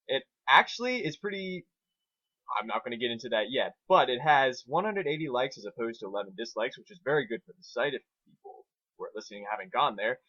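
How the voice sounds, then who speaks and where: A man with a mid-pitched, very animated voice works as reader and co-host. His delivery is an informal dramatic storytelling style. The recording is dry and slightly lo-fi. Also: no room sound.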